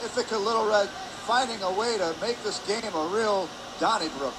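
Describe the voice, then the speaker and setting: A man with a high voice works as color commentator on a hockey broadcast. He offers measured analysis between plays, with clear rink noise behind him. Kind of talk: live sports analysis. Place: indoor ice hockey arena. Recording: noisy rink ambience behind the voice.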